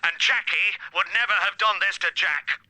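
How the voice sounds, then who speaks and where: A man's voice: high-pitched, normal speed, sharp tone, no echo, low-quality recording. An actor playing a controlling, self-pitying husband in a radio drama, pompous and patronising in his talk. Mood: indignant scorn.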